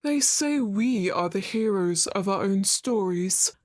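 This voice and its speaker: Mysterious female collector, played by an actress: slow, musing, theatrical narration, close dry sound. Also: medium pitch, lively intonation, dry recording. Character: brooding, secretive female collector musing on stories. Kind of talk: scripted dramatic monologue.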